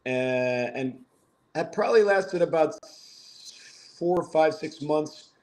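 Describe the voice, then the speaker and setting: A man's voice: a little high, animated, slow, a little mellow, low-quality recording. A man in his fifties from the Philadelphia area, a podcast guest telling stories with wry, self-mocking humor. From Philadelphia area, USA. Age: 50s. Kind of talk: casual podcast conversation.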